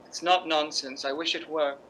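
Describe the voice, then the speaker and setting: A woman's voice: sits low, fairly even intonation, moderate pace, a bit bright, slight background noise. A woman playing a proud, volatile stage actress with a biting, sarcastic theatrical delivery in a radio drama. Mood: bitter, wounded. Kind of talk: radio drama acting in 1950s style.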